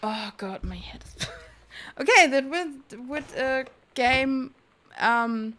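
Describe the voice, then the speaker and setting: A woman doing casual livestream game commentary, reading on-screen text aloud in a halting, puzzled way, muttering guesses as she goes. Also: sits high, expressive, a bit bright. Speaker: game streamer.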